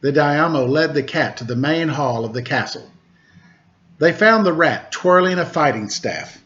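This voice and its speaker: A man hosting a storytime, reading a children's tale aloud with measured, pausing phrasing. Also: a little high, expressive, a bit bright. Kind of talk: read-aloud storytelling with casual introduction. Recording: dull lo-fi recording.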